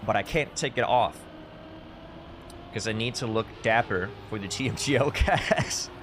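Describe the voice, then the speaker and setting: A man's voice: lowish voice, a little slow, a bit bright, slight background noise. A man, chatting casually and commentating as a live esports caster, with dry, tongue-in-cheek humour. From Denmark. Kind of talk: casual live stream chat with game commentary. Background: music.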